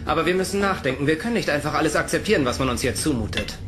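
A man's voice: a little high, animated, rapid-fire, background noise, close to an everyday voice. A man playing an anxious but reasoning human prisoner in a sci-fi radio drama. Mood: worried. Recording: roomy echo under music.

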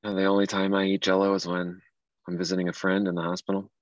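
A low male voice, close and dry, an actor as an earnest man in his late thirties carrying grief in a stage drama. He talks conversationally, and the sound is a little dull. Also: monotone, quick pace, no echo, lightly acted. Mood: wistful. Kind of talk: table read of a dramatic script.

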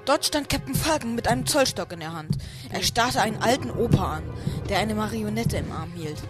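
A man's voice: high-pitched, lively intonation, a little fast. A man narrating a parody audio drama in the first person, as scripted storytelling. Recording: music under the voice.

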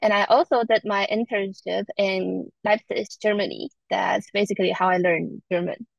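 A woman's voice: mid-range voice, fairly even intonation, normal speed, creaky, dry recording. A young woman from Taiwan with a Taiwanese Mandarin accent, patiently teaching a live online Chinese lesson. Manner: patient, explains step by step. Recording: dull lo-fi online stream audio.

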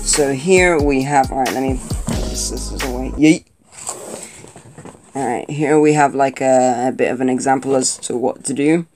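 A man's voice: mid-range voice, steady tone, moderate pace, darkish tone. A young man from Colombia, talking casually while unboxing and reviewing a toy, rambling with lots of fillers and restarts. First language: not Spanish. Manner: chatty, hesitant, many fillers and restarts. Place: indoor room at a table. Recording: background guitar music. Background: guitar music.

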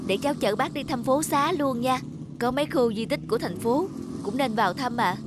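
A woman's voice: high voice, fairly quick, background noise, lightly acted. A woman playing a polite, kindhearted young male motorbike taxi driver in a cartoon, respectful and earnest. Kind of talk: cartoon voice acting. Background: music.

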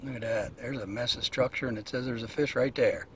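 A man's voice: medium pitch, a little fast, fairly bright tone, slight background noise. A middle-aged man with an American accent gives a casual, plain-spoken, folksy product review while out in a kayak. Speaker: kayak angler reviewing gear. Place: mostly outdoors in a kayak on open water.

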